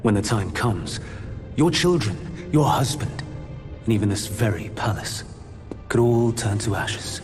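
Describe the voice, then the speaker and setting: A man's voice: fairly low pitch, lively intonation, unhurried. A man playing a scheming, arrogant immortal suitor in a fantasy game, with formal, theatrical and courtly speech. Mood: grave, persuasive. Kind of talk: dramatic fantasy game acting. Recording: music behind the voice.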